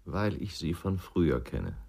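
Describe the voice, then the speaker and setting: A man's voice: low voice, very animated, measured pace, gravelly, a little mellow, heavily acted. An actor playing a guarded, stubborn ex-policeman with a curt way of talking in a German radio crime drama. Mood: quietly sure. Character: terse, stubborn ex-policeman, guarded about his past.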